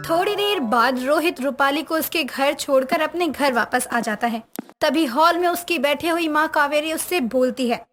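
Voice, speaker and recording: A woman's voice: high voice, moderate pace, fairly bright tone. A woman reading scripted story narration as a narrator. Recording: music under voice.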